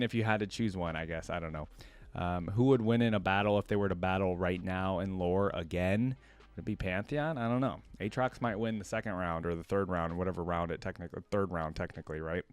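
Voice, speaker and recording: A man's voice: lowish voice, clean tone, no echo. A man hosting a reaction video, talking casually and off the cuff to his viewers, rambling as he thinks aloud. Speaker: reaction video host, League of Legends fan. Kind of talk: casual unscripted commentary to viewers.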